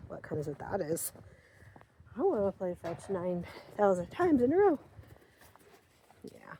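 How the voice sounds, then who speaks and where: A woman's voice: mid-range voice, darkish tone, slight background noise. Breathless woman vlogging in the snow. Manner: rambling, chatty, with many fillers and quoted asides. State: short of breath from cold and altitude. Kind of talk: casual outdoor vlog storytelling. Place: outdoors on a snowy rural property.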